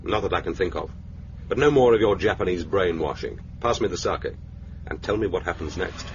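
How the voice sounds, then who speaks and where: An actor playing a cool, dry-witted secret agent in a radio drama, clipped, understated and wry. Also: male voice, deep voice, brisk, slight background noise, close to an everyday voice. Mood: cool, resigned. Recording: dull radio drama recording with music.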